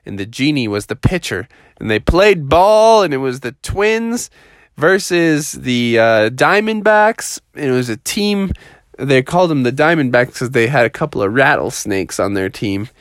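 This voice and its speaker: A man tells a made-up children's tale with very animated intonation. His wording is casual and colloquial, his voice sits at a middle pitch, and the sound is dry and close. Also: measured pace, dry recording. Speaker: storyteller. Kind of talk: improvised children's storytelling.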